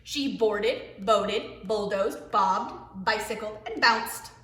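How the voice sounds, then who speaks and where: A woman, a preschool teacher, reading a picture book aloud to young children in an expressive way, with hushed asides. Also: medium pitch, slow pace. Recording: echoey room.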